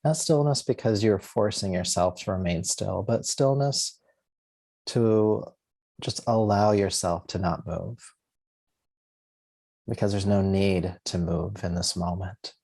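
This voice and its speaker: Male meditation guide: low, very soft-edged relaxed tone, gentle and soothing, short unhurried phrases. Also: gravelly. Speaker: yoga nidra teacher.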